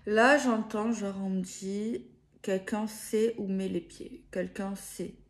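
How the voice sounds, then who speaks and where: Woman, card reader speaking casually to viewers. Unhurried, halting, repeats and rewords as she goes. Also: medium pitch, steady tone. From France. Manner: hesitant, circling, repeats and rephrases herself. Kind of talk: improvised spiritual guidance, casual chat to viewers.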